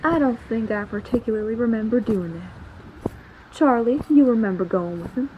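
A woman's voice: fairly high pitch, normal speed, narrow, tinny audio, slight background noise, natural voice. A woman playing a callous, dismissive outlaw gang boss in a western audio drama. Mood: menacing. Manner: menacing, dismissive. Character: cold, callous male outlaw gang boss, former sheriff.

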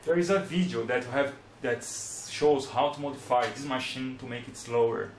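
A young man from Brazil with a Brazilian Portuguese accent, in his twenties, speaking English as a second language in a casual how-to demonstration. He talks in a plain, unhurried way and searches for words, at a desk in a small room. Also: mid-range voice, echoey room, slight background noise. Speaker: hobbyist demonstrator.

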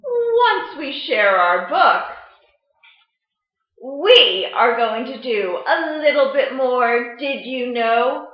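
A middle-aged American woman presenting a storytime lesson for children, explaining in a deliberate, animated way. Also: sits high. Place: indoor room at home, seated at a table. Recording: muffled webcam sound in echoey room.

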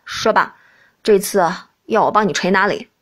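A woman's voice: medium pitch, moderate pace, pitched below the performer's own voice, natural voice. A woman voicing a flustered young princess who lives in a prince's body, hesitant and protesting, in an audiobook. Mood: exasperated. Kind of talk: audiobook character dialogue.

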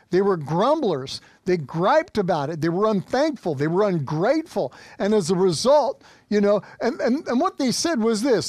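A man's voice: high-pitched, a little mellow, no room sound, clean studio sound. An American man in his fifties preaching a sermon as a pastor, exhorting with emphatic repetition. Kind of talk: sermon to an online congregation. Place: small indoor church studio set.

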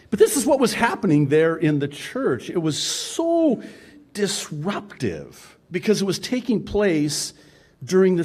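A man's voice: mid-range voice, darkish tone. Chuckling, conversational male pastor. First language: Arabic. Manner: conversational, chuckling asides, frequent "uh" fillers. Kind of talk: sermon.

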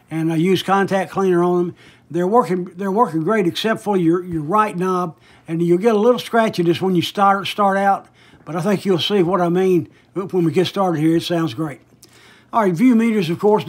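Guy with a kinda high voice showing off something he's selling, folksy and a bit hesitant, rambles along. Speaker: online seller demonstrating vintage audio gear. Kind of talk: casual product demonstration.

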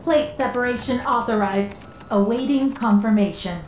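A woman voicing an impassive automated computer announcement in a video game, flat and formal. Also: a little high, moderate pace, natural voice. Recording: echoing announcement over faint noise.

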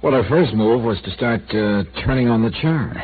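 A man's voice: medium pitch, normal speed, close to an everyday voice. An actor playing a dry, probing male insurance investigator in an old radio drama. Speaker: lead actor. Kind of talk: 1950s radio drama acting. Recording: tinny, hissy old radio broadcast.

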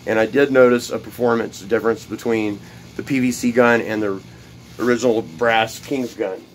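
A man's voice: lowish voice, fairly even intonation, normal speed, slight background noise. A man in a cluttered home workshop, explaining a build step by step in a casual, rambling way, with plenty of fillers and repeated words. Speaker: DIY video host. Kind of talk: casual workshop how-to demonstration.

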